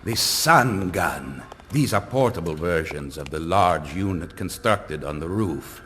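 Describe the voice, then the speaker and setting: An actor playing a pompous, fawning vampire inquisitor with drawn-out, theatrical speech in a video game cutscene. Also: male voice, low-pitched, animated, a bit bright. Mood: fawning, proud. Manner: pompous, obsequious, drawn-out delivery. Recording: long hall reverb, faint noise.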